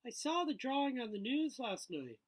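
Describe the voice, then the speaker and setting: A man voicing a polite, gently concerned woman schoolteacher from a novel, questioning in a measured manner. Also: extremely high pitch, big pitch swings, pitched above the performer's own voice, heavily acted. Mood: probing, concerned.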